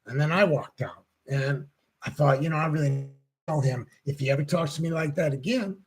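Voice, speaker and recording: Older male host, 60s, husky and slightly high voice, rambling halting storyteller. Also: a little mellow. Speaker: show host. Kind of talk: casual chat.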